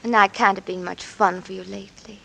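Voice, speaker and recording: An actress playing a frail, affectionate ailing wife in a 1940s radio drama, with a breathy, plaintive voice. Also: female voice, mid-range voice, fairly even intonation, brisk, low-quality recording. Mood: weak, affectionate. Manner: soft, plaintive, genteel. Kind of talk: 1940s radio suspense acting.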